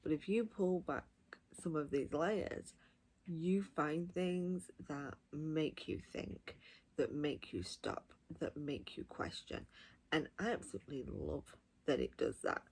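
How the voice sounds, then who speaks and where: A woman's voice: deep voice, expressive, slow pace, darkish tone, slightly rough. A woman giving a casual, enthusiastic review, talking directly to viewers. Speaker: audiobook reviewer.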